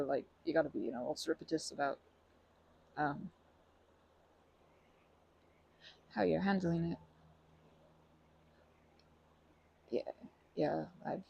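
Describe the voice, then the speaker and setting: A woman performs a timid, gentle park regular offering comfort in an intimate ASMR roleplay. The voice is soft and dark-toned, husky and full of gravelly creak. The delivery is hesitant, with stammers and nervous self-corrections throughout. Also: deep voice, heavily acted, low-quality recording. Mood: gentle, empathetic. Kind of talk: ASMR roleplay, improvised-sounding intimate monologue.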